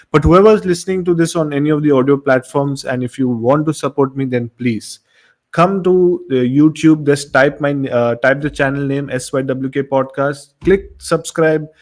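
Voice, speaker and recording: Indian-accented man hosting a horror show. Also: medium pitch. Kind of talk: casual livestream chat to listeners.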